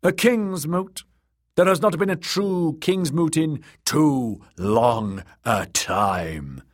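A fat, pale lord, put on by a male reader, challenging and insistent. His voice is pushed well up in pitch and loud, with big swings, as in a fantasy storybook. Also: lively intonation, slow pace, heavily acted. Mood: incredulous. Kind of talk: dramatised audiobook character voice.

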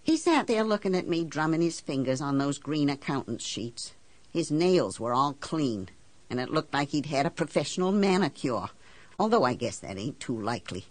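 A woman's voice: deep voice, big pitch swings, normal speed, no room sound. Woman acting a blunt, embittered island housewife, wildly swooping. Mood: wry, reflective. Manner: folksy, blunt, indignant, ungrammatical country talk. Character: blunt, embittered working-class island housewife telling her story. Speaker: audiobook narrator. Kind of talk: first-person storytelling in character.

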